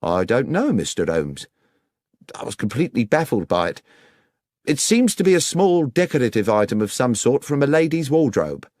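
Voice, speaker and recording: A man's voice: medium pitch, big pitch swings, moderate pace, darkish tone, no room sound, clean studio sound, voice pushed up. A man voicing a dutiful police inspector in an audiobook, reporting facts earnestly and deferentially. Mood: puzzled, deferential.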